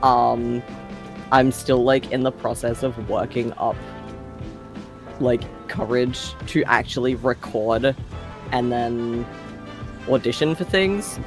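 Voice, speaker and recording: A man with an Australian accent speaks slowly and at an easy pace, poking fun at himself and laughing easily. He chats casually as a podcast guest over faint background music. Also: mid-range voice. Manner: unhurried, self-deprecating, laughs easily. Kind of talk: casual chat.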